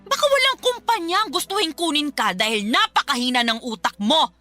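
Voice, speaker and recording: A woman playing a harsh, belittling, nagging Filipino mother in a radio drama, with a sharp-tongued, scolding manner. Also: high voice, lively intonation, a little fast, faint music behind. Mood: scornful, scolding.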